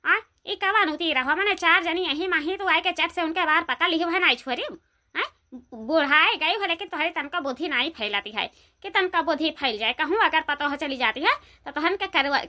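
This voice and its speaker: A woman's voice: high voice, animated, moderate pace, pushed and bright. A woman voicing an outspoken, disapproving village neighbour with an Awadhi accent in a comedy cartoon, chiding others. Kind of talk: Hindi dialect comedy cartoon dialogue.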